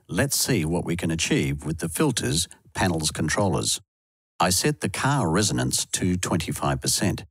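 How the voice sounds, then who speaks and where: A man reading scripted instructional narration for a software tutorial, close to the microphone. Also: sits low, slightly rough, no room sound.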